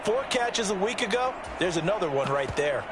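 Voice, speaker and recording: A man's voice: high voice, lively intonation, moderate pace, very noisy. A man playing a video game football analyst with an American accent, explaining plays in an emphatic, conversational way. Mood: explanatory. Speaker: colour commentator, analyst.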